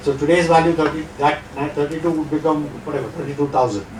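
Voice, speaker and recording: A middle-aged Indian man gives a lecture to an audience in a reverberant hall, a little higher-pitched than most men. He sits back from the microphone, so the sound reaches it thinly. He talks in a conversational way and keeps tossing rhetorical checks to the room. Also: flat delivery, quick pace, background noise. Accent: Indian English. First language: not Hindi. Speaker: guest lecturer. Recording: echoey hall, distant mic.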